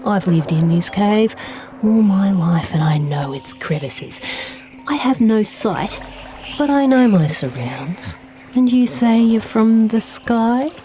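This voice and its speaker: A woman's voice: mid-range voice, expressive, takes it slowly, music in the background. A woman voicing a blind, sceptical, know-it-all cave salamander in a storybook, smug and doubting. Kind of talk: storybook character dialogue.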